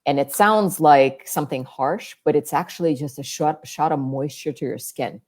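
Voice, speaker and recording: A woman guest with a deep, calm, soothing voice, chatting in an easygoing way about her skincare routine. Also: moderate pace. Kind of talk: casual chat about skincare.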